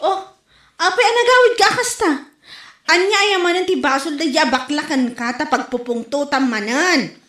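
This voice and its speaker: A woman's voice: high voice, normal speed, a bit bright. A woman playing an exasperated, nagging wife in an Ilocano comedy audio drama, complaining and scolding. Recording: echoey room.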